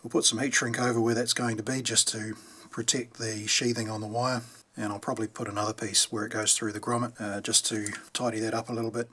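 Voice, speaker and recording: A man's voice: lowish voice, level tone, a little fast, sharp tone, husky. A man giving casual hands-on repair commentary, thinking aloud as he works. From New Zealand. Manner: unscripted, thinking aloud while working. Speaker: hobbyist radio repairer presenting a how-to video.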